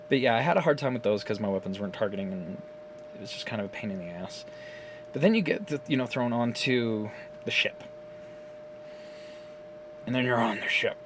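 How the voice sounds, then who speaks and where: A man's voice: on the low side, background noise. A man recording himself on his phone camera at home, giving a casual, rambling and profane recap of a game's story, laughing often. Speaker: gaming video creator.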